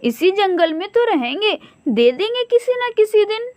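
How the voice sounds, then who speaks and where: A woman's voice: very high voice, sing-song, moderate pace, a little mellow, no room sound, pitched above the performer's own voice. A woman voicing a boastful, nagging female crow, a quarrelsome cartoon wife who complains a lot. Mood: dismissive.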